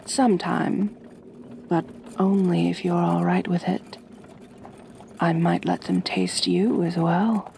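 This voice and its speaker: A woman playing a gentle, doting aristocratic vampire mistress in an ASMR roleplay, speaking softly and breathily with genteel, soothing command. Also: lowish voice, fairly even intonation, slow pace, vocal fry, slight background noise, heavily acted. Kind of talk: ASMR roleplay, intimate acting.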